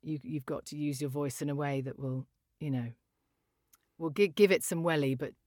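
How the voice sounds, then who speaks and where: Woman from the UK, very low voice, chatty, laughs a lot, fillers and restarts, dry close sound. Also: steady tone, moderate pace, a little mellow, no echo. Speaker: voiceover artist guest. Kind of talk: casual interview chat.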